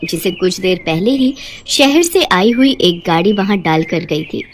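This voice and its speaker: A woman's voice: lowish voice, expressive, unhurried, slight background noise. A woman narrator reading a scripted moral story.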